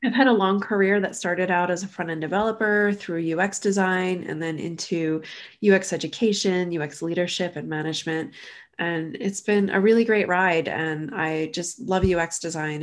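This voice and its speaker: Woman in her thirties, interview guest, somewhat low voice, a bit nasal, friendly chat. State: slightly nasal from allergies. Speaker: UX designer and educator, podcast guest. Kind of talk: casual interview.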